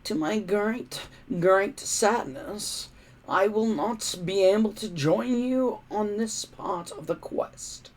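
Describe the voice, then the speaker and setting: A man voicing a smooth, falsely regretful male villain from a storybook, making a grandiose, oily speech. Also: high-pitched, slow, pitched above the performer's own voice. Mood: feigned regret.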